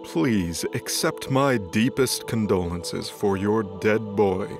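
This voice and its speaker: A man's voice: on the low side, slow pace, music in the background. A man playing a haughty, flippant emperor god in an audio drama, speaking with bored disdain and theatrical sighs.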